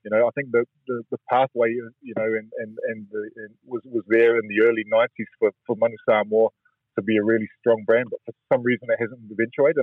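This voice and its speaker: Brief: New man, radio guest over a tinny phone line, husky, quick and halting, flat delivery. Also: lowish voice, no room sound. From New Zealand. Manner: halting, restarts often, searching for words. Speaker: rugby guest interviewee. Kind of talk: radio interview.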